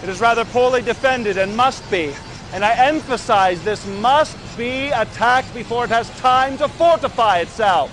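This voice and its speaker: A very high-pitched cruel henchman. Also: moderate pace, fairly bright tone. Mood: urgent, commanding. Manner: emphatic, theatrical, gleefully cruel. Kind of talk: dramatic game cutscene acting. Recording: clearly noisy background.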